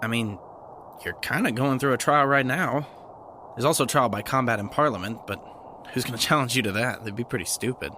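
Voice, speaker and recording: An actor playing an earnest, bookish, kind young man in an audio drama, with a gentle, lightly teasing manner. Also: medium pitch, fairly quick, a little hiss or hum, close to an everyday voice. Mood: thoughtful, teasing. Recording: music bed under the voice.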